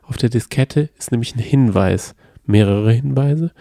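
Male co-host, casual, patient explainer, warm dark tone. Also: medium pitch, measured pace, vocal fry, no echo, clean studio sound. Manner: explains calmly and at length, playful about spoilers. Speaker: podcast co-host. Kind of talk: casual chat about a radio play series.

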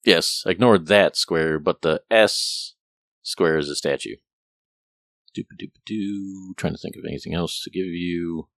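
A man's voice: deep voice, measured pace, gravelly, a bit bright, no echo, studio quality. A man narrating as game master in a casual, rambling way, full of fillers and restarts. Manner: rambling, hesitant with many fillers.

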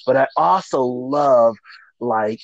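A man's voice: mid-range voice, slow pace, highs cut off, slight background noise. A man hosting a casual interview, upbeat and chatty, asking a singing judge questions and laughing often. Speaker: host of singing competition group.

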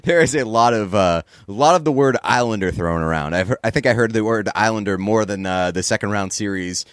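A man's voice: lowish voice, smooth, no room sound, clean studio sound. A man co-hosting a podcast, chatting casually with jokey asides. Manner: laughing, jokey, quick asides. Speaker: podcast co-host. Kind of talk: casual chat.

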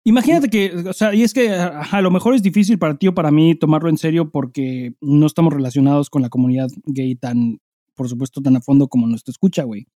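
A man's voice: a little high, fast talker, warm tone, no echo, studio quality. A Mexican man co-hosting a comedy podcast, chatting casually with vulgar slang and crude storytelling. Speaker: podcast co-host.

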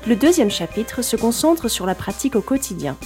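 A woman host reading a scripted podcast introduction in a measured, even way. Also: fairly high pitch, faint music behind. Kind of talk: scripted narration.

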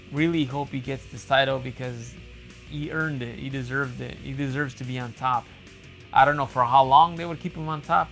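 A man talking casually and at length as a wrestling fan, with a loud booming voice, full of restarts and fillers. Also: gravelly. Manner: rambling, repeats himself, many fillers and restarts. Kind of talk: casual monologue.

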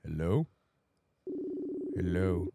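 A man's voice: sits low, extremely slow, background noise. A man co-hosting a podcast in casual chat, congested from a sinus infection and swearing freely. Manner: profane, laid-back. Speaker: podcast co-host.

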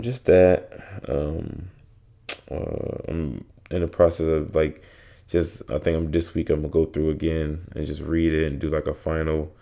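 A man's voice: rumbling low, vocal fry, warm tone. A young man, congested and with a sore throat, hosting a casual solo podcast and rambling with lots of fillers and restarts. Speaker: podcast host.

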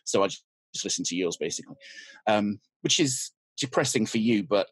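A man's voice: on the low side, animated, normal speed, slightly rough, no echo, low-quality recording. A man, a teacher, chatting as a podcast guest in a wry, self-deprecating way. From United Kingdom. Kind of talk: casual interview chat.

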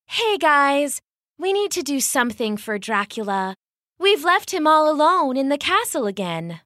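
A kind, earnest mummy, as a woman reading a storybook puts her on, her voice raised and bright. She speaks slowly and with gentle persuasion, recorded dry and close. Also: high voice, very animated, dry recording, voice pushed up, full character voice.

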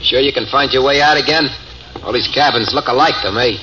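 A ruthless, greedy gang boss who makes menacing threats in an old radio crime drama, as a man plays him. He sounds a little set back from the mic, and the old recording is dull with clear hiss behind it. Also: medium pitch, fairly quick, far from the mic, lightly acted. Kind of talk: 1940s radio acting.

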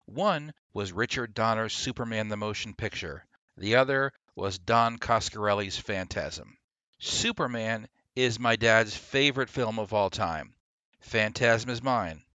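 A man hosting a podcast, delivering a scripted monologue and review with emphatic, deliberate delivery. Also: fairly low pitch, bright, forceful tone, no room sound. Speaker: podcast host. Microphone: close, dry podcast microphone.

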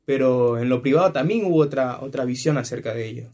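A man's voice: medium pitch, quick pace, a little mellow. A man from Ecuador joining a casual discussion, explaining his point while searching for words. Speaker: discussion participant.

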